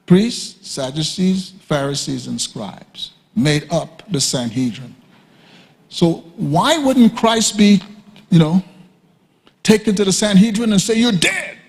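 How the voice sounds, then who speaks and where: A man teaching a sermon at a conference, emphatic and repetitive, often leaping high to act out quoted speakers. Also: animated, slow, creaky. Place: church hall. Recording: reverberant hall.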